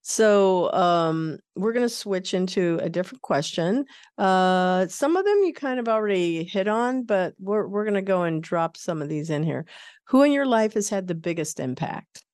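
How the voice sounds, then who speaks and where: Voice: medium pitch, expressive, moderate pace, clear, resonant tone, dry recording. Affirming podcast host, dry sound. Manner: warmly affirming, frequent "mm-hmm" backchannels. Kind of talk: casual interview chat.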